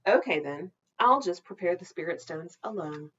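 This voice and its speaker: A woman's voice: fairly low pitch, expressive, normal speed, fairly bright tone, husky. A bossy, self-serious girl from a children's storybook, acted out by a woman reader. She speaks in clipped commands. The sound is a little dull and lo-fi. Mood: self-important.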